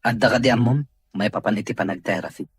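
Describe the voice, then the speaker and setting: Sulky wealthy male drama character played by a man, husky and lowish, grumbling, very close dry sound. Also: steady tone, fast talker, dry recording. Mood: sulky, reluctant. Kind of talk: Ilocano radio drama acting.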